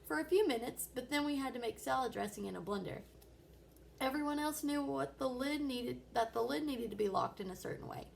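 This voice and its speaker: A woman's voice: high voice, a little fast, gravelly, a little hiss or hum. A woman voicing a kindly, reassuring father telling patient anecdotes in a children's storybook. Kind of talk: children's storybook read-aloud.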